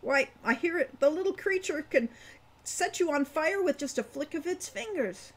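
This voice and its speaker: A man's voice: extremely high pitch, animated, moderate pace, voice pushed up. A woman voicing a gloomy, folksy old male dwarf who rambles and grumbles, in a storybook reading. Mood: grave, warning.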